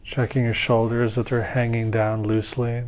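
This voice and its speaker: A man leads a guided meditation in a slow, soothing voice, instructing gently, one step after another. His pitch stays level, with almost no rise or fall. The audio is slightly dull and lo-fi. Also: level tone. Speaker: meditation teacher.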